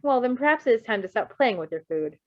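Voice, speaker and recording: A woman voicing a calm, curious adult male superhero with composed, precise and formal phrasing in an amateur fan fiction reading. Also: a little high, fast talker, lo-fi sound, natural voice. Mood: gently teasing.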